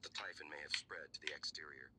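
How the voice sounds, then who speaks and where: An actor playing a calm male guide in a video game, giving a measured, matter-of-fact briefing to a space-station survivor. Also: very deep voice. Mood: calm, cautioning. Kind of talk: scripted video game dialogue. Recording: game audio under loud noise, clicks.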